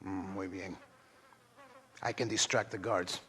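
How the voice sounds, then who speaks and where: An actor playing a wary rebel lookout in a western video game. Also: male voice, low-pitched, steady tone, a little slow, pushed and bright, a little hiss or hum. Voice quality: husky.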